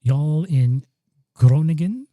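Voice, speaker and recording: Man, podcast co-host, mellow warm tone, dry close sound, loose rambling delivery. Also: on the high side, slow pace, no echo. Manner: relaxed, rambling, many ums and restarts. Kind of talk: casual podcast chat. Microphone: broadcast dynamic studio mic.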